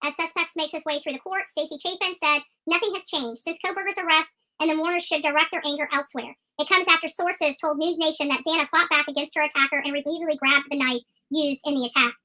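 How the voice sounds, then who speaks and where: A commentator with an extremely high-pitched voice and a sharp, bright edge. The delivery is opinionated true crime commentary, combative and emphatic, with points hammered home by repetition. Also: fairly even intonation, quick pace, few pauses, low-quality recording. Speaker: online commentator.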